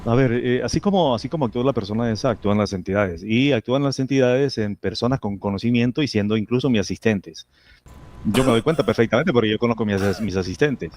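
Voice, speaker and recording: A man's voice: medium pitch, fast talker, darkish tone, a little hiss or hum. A man answering as a hypnotherapist guest in a casual interview, speaking emphatically and repeating phrases with self-assurance.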